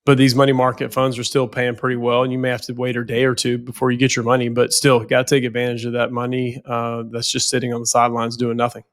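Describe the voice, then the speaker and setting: A man's voice: mid-range voice, completely flat delivery, quick pace, hardly any pauses. A financial planner with a head cold, sounding nasal, talking casually on a podcast and often repeating words as he goes.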